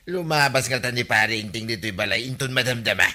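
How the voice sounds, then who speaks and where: An actor playing an inquisitive, gullible husband in an Ilocano radio comedy drama, questioning and exclamatory. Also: male voice, mid-range voice, moderate pace, bright, forceful tone. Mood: gleeful.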